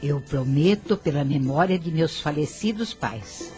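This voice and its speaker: An elderly grandmother, kind and grateful in an old-fashioned radio drama. She speaks with humble warmth while music plays clearly underneath. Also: sits low, expressive, measured pace. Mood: grateful, solemn. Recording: music behind the voice. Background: background music.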